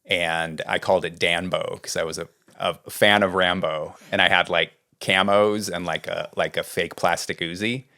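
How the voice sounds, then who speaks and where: A middle-aged man from California, a writing coach being interviewed, talking in a relaxed, rambling way. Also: sits low, normal speed, few pauses. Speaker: guest, script doctor and writing coach. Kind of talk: casual interview talk.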